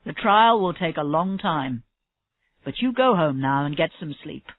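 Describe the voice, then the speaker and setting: A woman reading a storybook, playing a calm, curt male police chief in an understated, measured way. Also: sits low. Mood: calm, approving. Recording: roomy, slightly dull lo-fi audio.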